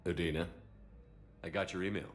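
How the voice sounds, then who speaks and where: An actor playing a steady, stoic military commander in a video game, terse and earnest in his delivery. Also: sits low, a little fast, slight background noise. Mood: calm. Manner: terse, earnest, matter-of-fact. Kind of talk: video game cinematic dialogue acting.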